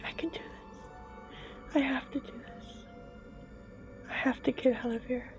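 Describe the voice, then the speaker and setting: Lady acting out a scared desperate storybook girl, high whispery pleading, kinda dull recording. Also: fairly even intonation, measured pace, music in the background. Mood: frightened determination. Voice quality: breathy, whispery. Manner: urgent self-encouraging pleading. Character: frightened desperate girl trapped in a cave, pleading.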